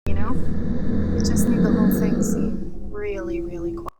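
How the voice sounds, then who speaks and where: A woman's voice: medium pitch, lively intonation, moderate pace, close to an everyday voice. An actress playing an uneasy woman in a paranormal audio drama, speaking in a hesitant, conversational way. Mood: quietly unsettled. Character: woman uneasily recounting an eerie, lifeless scene. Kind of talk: naturalistic audio drama acting. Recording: very noisy background ambience.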